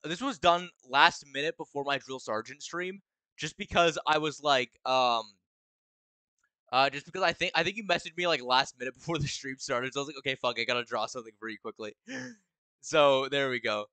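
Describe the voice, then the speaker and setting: A man with a deep voice chatting casually on a live game stream, rambling and stop-start, laughing often. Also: a little fast, pushed and bright, smooth, dry recording. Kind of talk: casual live-stream chat while gaming.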